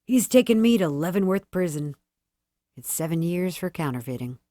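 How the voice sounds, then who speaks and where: A woman plays a glum-faced, shrewd male prisoner from a classic short story. He talks bluntly and folksily, pleading his case in a low-pitched voice, recorded close and dry. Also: lively intonation, moderate pace, mellow, no echo, natural voice. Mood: matter-of-fact.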